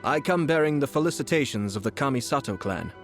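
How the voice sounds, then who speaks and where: A man playing a composed, shrewd young noble commissioner in a video game, speaking in a formal, polite, measured way. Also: mid-range voice, lively intonation, over music, lightly acted. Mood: courteous.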